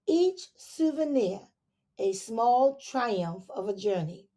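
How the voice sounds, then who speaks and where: A woman teacher reads a picture book aloud to young children at a slow, careful pace. Her voice sits a little high, and she lingers over the words with expressive storytime phrasing. Now and then she drops into soft asides to her listeners. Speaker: elementary school teacher.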